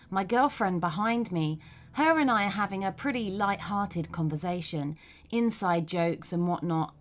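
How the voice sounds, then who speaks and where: Medium-pitched woman narrating a story. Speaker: podcast host reading listener stories. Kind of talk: scripted first-person story narration.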